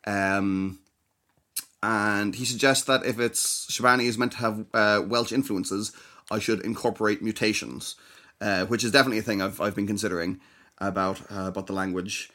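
Soft-spoken man, lower voice. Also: fairly even intonation, normal speed. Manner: hesitant, many ums and restarts, explains carefully. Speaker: podcast co-host. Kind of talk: casual chat.

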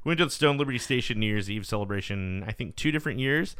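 A man's voice: mid-range voice, lively intonation, fast talker, a bit bright, clear, resonant tone, dry recording, studio quality. A man hosting a podcast, chatting casually and telling long rambling stories with plenty of swearing. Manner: rambling, profane storytelling. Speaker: podcast host. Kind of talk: casual chat. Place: San Diego.

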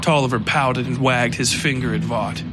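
A man narrating a dark fiction story in a slow, low, brooding voice. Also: steady tone. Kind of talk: scripted horror fiction narration. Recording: music bed underneath.

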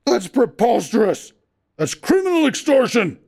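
A man's voice: sing-song, moderate pace, slightly rough. A man voicing a sick, irritable man in constant pain who wails and groans. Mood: shrieking. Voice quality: wailing, groaning, shrill. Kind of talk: audiobook character voice.

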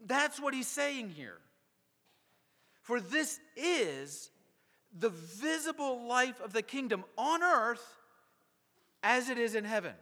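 Slow, animated preaching man. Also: extremely high pitch. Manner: emphatic, rhetorical, deliberate pauses. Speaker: pastor. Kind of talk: sermon. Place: church sanctuary. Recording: long hall reverb.

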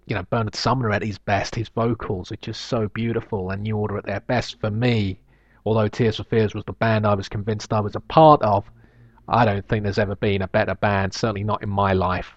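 Low-voiced reflective man with a slight lisp. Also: level tone, normal speed, dry recording, dull audio. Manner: reflective, rambling, with frequent fillers and restarts. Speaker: podcast host. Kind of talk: solo podcast monologue, reading listener emails.

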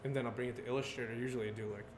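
A man in his thirties, a guest artist, talking loosely about his work and sports, heavy on filler words and quick laughs. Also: mid-range voice, steady tone. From Connecticut. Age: 30s. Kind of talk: casual podcast chat. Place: brewery taproom. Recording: roomy live venue with loud background noise.

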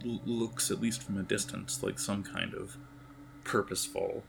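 A man improvising narration as game master of a tabletop game, with a halting, descriptive delivery. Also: sits low, steady tone, vocal fry, fairly bright tone, slight background noise.

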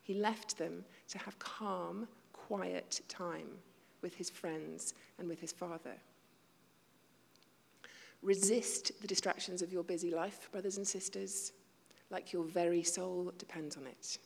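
Low, gravelly woman preaching in a reverberant hall. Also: fairly even intonation, slow. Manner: conversational, self-deprecating, with hushed asides. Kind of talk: sermon.

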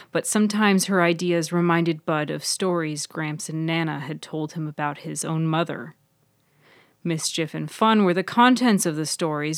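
A woman's voice: deep voice, no echo, studio quality. A woman reading a scripted serial story as its author and narrator.

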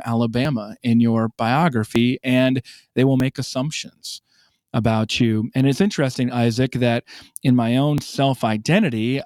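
A man's voice: medium pitch, darkish tone, no echo. A man from South Dakota in his late thirties or early forties, answering interview questions in a thoughtful way and repeating words as he starts a thought. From South Dakota, USA. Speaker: guest.

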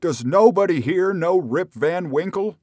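A humble, desperate old storybook man, acted out by a male narrator, with a raised voice that swings widely in pitch. He sounds bewildered and plaintive, crying out his words. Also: big pitch swings, normal speed, darkish tone, husky, no room sound, studio quality. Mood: bewildered despair. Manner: plaintive, exclamatory.

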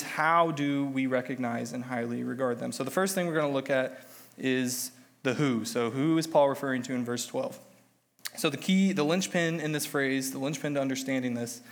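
A man's voice: mid-range voice, fairly bright tone. Man preaching with a head cold. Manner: conversational, self-deprecating teaching. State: has a cold, slightly nasal. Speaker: lay preacher. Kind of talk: sermon.

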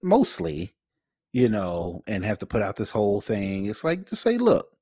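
A man's voice: lowish voice, huge pitch swings, normal speed, darkish tone, dry recording, studio quality. A man co-hosting a podcast, giving casual, opinionated commentary bluntly and emphatically, repeating phrases for effect. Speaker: podcast co-host.

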